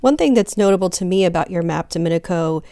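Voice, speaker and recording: A woman's voice: lowish voice, lively intonation, fast, dark tone, dry recording, studio quality. A woman working as a congressional editor, giving thoughtful remarks on a political podcast and restarting sentences with you know.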